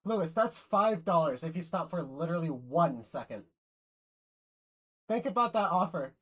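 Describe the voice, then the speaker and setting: A woman's voice: lowish voice, lively intonation, normal speed, a bit bright. A woman chatting casually while playing a game with friends, swearing, laughing and stumbling over her words. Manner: swearing, laughing, rambling restarts. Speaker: streamer. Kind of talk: casual gaming chat with friends.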